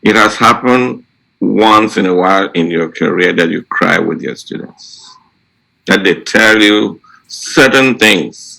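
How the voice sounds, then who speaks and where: A man talks to fellow teachers in a deliberate, halting way. His voice is on the low side and gravelly, and he takes his words very slowly. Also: bright, forceful tone, rough recording. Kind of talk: unscripted storytelling to colleagues.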